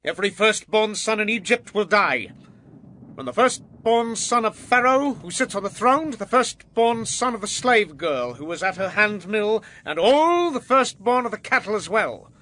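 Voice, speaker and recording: An actor playing Moses as a bold, defiant Hebrew prophet in a dramatized audio Bible, speaking emphatically. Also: male voice, high-pitched, sing-song, normal speed, sharp tone, full character voice. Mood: solemn pronouncement. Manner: declamatory, emphatic.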